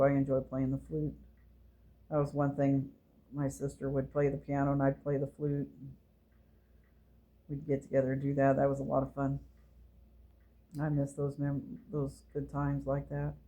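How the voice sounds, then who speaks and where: A woman, who reminisces casually and gently, meandering along as she talks to her viewers. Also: little pitch movement, poor audio. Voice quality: low voice. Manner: slow, meandering and gentle, with fillers. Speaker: channel host.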